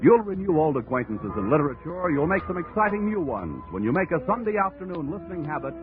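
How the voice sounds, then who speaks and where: Male announcer, formal smooth delivery, slightly high voice, tinny old radio, music behind. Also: big pitch swings, fast.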